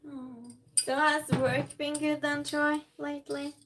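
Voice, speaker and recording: A woman's voice: high voice, little pitch movement, takes it slowly, a bit bright, slight background noise. A woman who is not a native English speaker chats casually on a livestream, rambling along and answering viewers as she goes. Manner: rambling, reads viewer comments aloud and replies. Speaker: live streamer. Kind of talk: casual livestream chat while cooking. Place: indoors at home while cooking.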